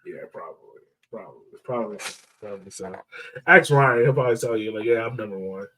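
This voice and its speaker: A man's voice: lowish voice, fast, pushed and bright, recorded from a distance, poor audio. A man co-hosting a sports podcast, casually breaking down a soccer match and repeating himself as he thinks aloud.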